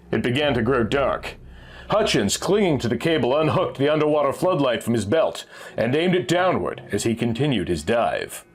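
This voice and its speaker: A man reads pulp adventure fiction aloud in a measured, suspenseful storytelling manner. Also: medium pitch. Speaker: narrator reading a vintage magazine story. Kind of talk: dramatic scripted narration of pulp adventure fiction. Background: background music.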